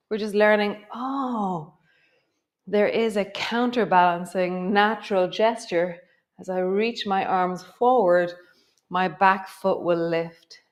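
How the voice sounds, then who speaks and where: A middle-aged woman, gently guiding a yoga class with a slightly stuffy nose. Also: mid-range voice. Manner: gentle, encouraging, drawn-out cues. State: stuffy nose from a coming cold. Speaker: yoga teacher. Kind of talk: guided yoga class instruction.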